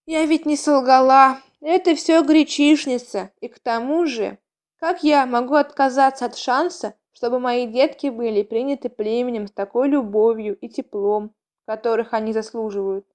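A woman's voice: on the high side, moderate pace, lightly acted. A woman voicing an anguished, loving mother cat in an audiobook story, with an emotional, pleading manner. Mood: guilty, resolute.

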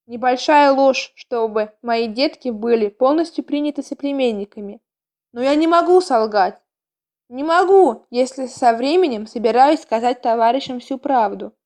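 A woman's voice: high voice, moderate pace, a little mellow, natural voice. A woman voicing an anguished, loving mother cat in an audiobook story, with an emotional, pleading manner. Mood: torn.

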